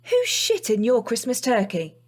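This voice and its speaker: A woman's voice: a little high, big pitch swings, normal speed. An actress with a British accent playing a blunt, sweary young woman in a naturalistic audio drama. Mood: irritated, sarcastic. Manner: informal, exasperated, sweary. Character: Rachel, blunt, sweary young woman missing her partner.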